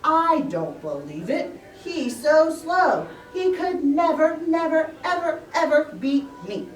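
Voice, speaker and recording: A woman's voice: high voice, animated, slow, pitched above the performer's own voice. A woman voicing a bossy, competitive hare in a puppet story, brash and emphatic in manner. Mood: scornful. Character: boastful, impatient, fast hare, bossy and competitive. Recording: roomy echo, distant microphone.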